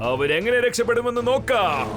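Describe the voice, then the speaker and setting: High-pitched gloating cartoon villain. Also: male voice, sing-song, a little fast. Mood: angry, scheming. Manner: theatrical, menacing, gloating with villainous laughter. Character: gloating boastful male villain who steals cities. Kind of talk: dubbed children's cartoon acting. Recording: music behind the voice.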